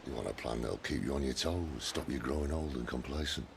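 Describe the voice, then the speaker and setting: An actor playing a roguish, cheerful older mentor in a video game, speaking in a wry, breezy, mock-philosophical way. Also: male voice, a bass voice, fairly quick, vocal fry, noisy background. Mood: wry banter.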